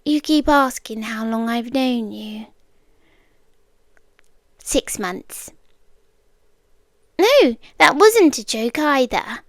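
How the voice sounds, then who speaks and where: A British woman playing an obsessive, eerily calm stalker girlfriend in an intimate ASMR roleplay, speaking in measured, deliberate phrases that often slip into whispers, close to the mic. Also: on the high side, no room sound, full character voice. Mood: matter-of-fact, eerie. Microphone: close dry microphone.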